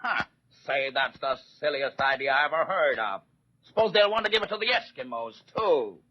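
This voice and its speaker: Husky, sneering small-minded man played by an actor. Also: mid-range voice, animated, moderate pace, lightly acted. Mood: scornful. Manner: sneering, incredulous. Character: scoffing, small-minded man mocking idealism. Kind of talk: 1940s radio acting. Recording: muffled old 1940s radio recording.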